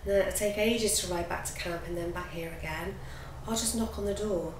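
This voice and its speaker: A woman voicing a steady, brave young boy. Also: fairly low pitch, moderate pace, close to an everyday voice. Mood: matter-of-fact. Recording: roomy with background noise.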